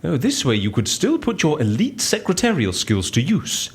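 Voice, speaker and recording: A man's voice: fairly high pitch, wildly swooping pitch, normal speed. An actor playing a cocky, inquisitive male professional in an audio drama, theatrical and probing in his questions.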